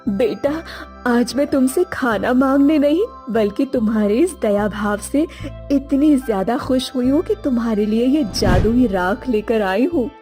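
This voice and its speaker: A woman's voice: fairly high pitch, unhurried, mellow, soft background music, natural voice. A woman voicing a mysterious, kindly older beggar woman who is a goddess in disguise, solemn and benevolent, in a children's story.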